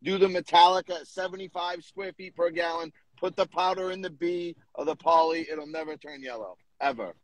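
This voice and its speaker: A man's voice: fairly high pitch, normal speed, hardly any pauses, rough recording. A man giving casual technical advice in an assertive, instructional way. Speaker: panel guest.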